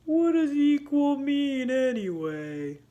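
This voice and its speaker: A woman voicing a slow, plodding male box turtle in a storybook, a bit complaining. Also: high voice, big pitch swings, dark tone, pitched above the performer's own voice, heavily acted.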